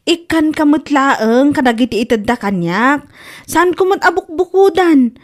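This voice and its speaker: A woman's voice: sits high, animated, a little slow. An Ilocano-accented woman playing a worried, caring older sister in a comedy drama. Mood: pleading.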